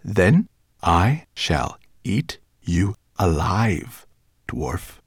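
Big nasty monster boss, growly n gravelly, bossy, sarcastic, voice swoops around, storybook villain. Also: male voice, on the low side, expressive, normal speed, no echo, clean studio sound. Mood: menacing. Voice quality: growling, snarling, roaring. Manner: imperious, sarcastic, explosive. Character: huge, tyrannical male dragon-like monster, furious, menacing, contemptuous.

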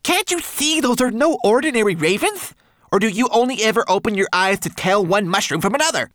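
A man voicing a tiny, excitable homunculus in an audiobook, anxious and snippy, with a shrill, strained voice. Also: measured pace, no room sound, studio quality. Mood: agitated. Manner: agitated, stammering, pleading. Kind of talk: audiobook character voice.

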